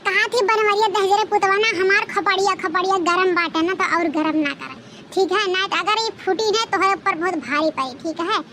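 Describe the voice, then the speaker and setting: A woman's voice: extremely high pitch, fairly quick, noisy background. A woman voicing a hot-tempered village wife with an Awadhi accent in a comedy cartoon, ranting and threatening her husband. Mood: angry, threatening.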